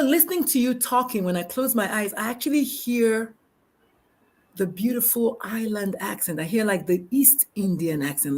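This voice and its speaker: A woman with a Nigerian accent hosting a live interview, talking in an excitable, rambling, effusive way. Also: medium pitch. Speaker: host.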